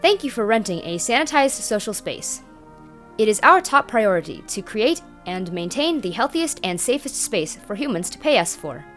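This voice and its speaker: Formal female automated announcer, lively intonation, acted. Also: medium pitch. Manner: formal, instructional, detached. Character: polite, officious automated announcer system. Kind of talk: scripted announcement in an audio drama.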